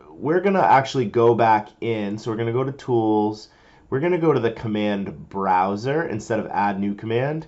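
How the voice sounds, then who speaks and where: A man presenting a casual, step-by-step software tutorial, informally explaining each step as he goes. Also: on the low side, muffled recording.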